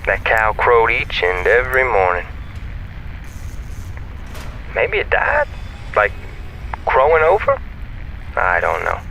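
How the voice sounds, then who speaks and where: Male comms monitor: bright, lowish, animated voice, playful and musing, radio effect with faint noise, sci-fi drama. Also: measured pace, creaky, exaggerated voice, rough recording. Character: chatty, easygoing male space comms monitor, quirky and musing. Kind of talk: scripted sci-fi audio drama acting.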